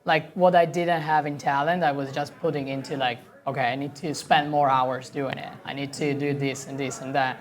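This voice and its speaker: A woman with an Italian accent and a notably low voice chats in a relaxed interview. She laughs easily and slips into little acted-out quotes of what other people said. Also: moderate pace, hardly any pauses. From Italy. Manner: chatty, laughs often, acts out quoted dialogue. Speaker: professional sailor guest. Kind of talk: casual interview conversation.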